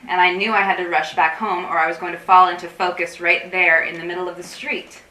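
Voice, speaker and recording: A woman's voice: fairly low pitch, flat delivery, brisk, sharp tone. An actress playing an anxious, intense woman in a staged play reading, speaking in a wordy, confessional, dramatic way. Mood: disgusted, agitated. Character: anxious, intense woman craving digital disconnection. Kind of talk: theatrical staged reading, monologue-like dialogue. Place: room at a live staged reading. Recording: roomy, distant mic, faint noise.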